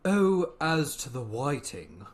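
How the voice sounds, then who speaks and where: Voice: mid-range voice, sing-song, takes it slowly. A performer voicing a solemn, melancholy old turtle creature in a theatrical storybook reading. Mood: solemn, earnest. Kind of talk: theatrical storybook reading with slow sung verse.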